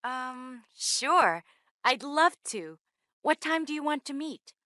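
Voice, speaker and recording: Woman acting a friendly young woman, high voice, dry close recording, scripted learner dialogue. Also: animated, slow, pushed and bright, dry recording, full character voice. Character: friendly young woman in everyday textbook conversation. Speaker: voice actor in English lesson dialogues.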